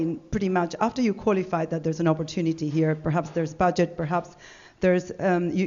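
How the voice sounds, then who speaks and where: Argentine woman speaker, middle-aged, lowish voice, chatty with lots of ums, dull lo-fi sound. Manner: chatty, animated, many fillers and restarts. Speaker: conference speaker. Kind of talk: business talk to an audience. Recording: dull lo-fi event recording.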